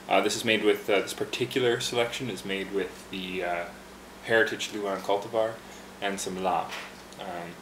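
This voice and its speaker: Low-voiced man explaining tea in a roomy shop. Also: slight background noise. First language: English. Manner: relaxed, chatty, many fillers and restarts. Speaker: tea bar barista. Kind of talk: casual instructional demonstration. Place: behind a tea bar.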